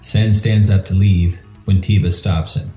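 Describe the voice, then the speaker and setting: A man's voice: low-pitched, little pitch movement, a little slow, mellow. A man reading scripted, screenplay-style narration for an audio drama. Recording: roomy echo with faint music.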